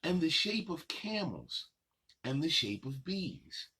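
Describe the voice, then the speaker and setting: A man with a husky voice reads a picture book to children as a story time host. His pitch swings widely and playfully from phrase to phrase. He takes his time, stretching words out and chatting to the young listeners. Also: very animated, bright, forceful tone. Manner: drawn-out, playful phrasing addressed to kids. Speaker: host reading children's picture books aloud.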